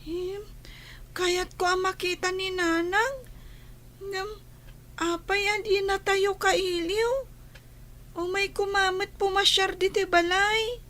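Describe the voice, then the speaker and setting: Very high-pitched plaintive little child. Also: female voice, fairly even intonation, takes it slowly, long pauses, pushed and bright, heavily acted. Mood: plaintive, wondering. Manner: plaintive, questioning. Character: plaintive young child missing mother. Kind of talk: radio drama acting.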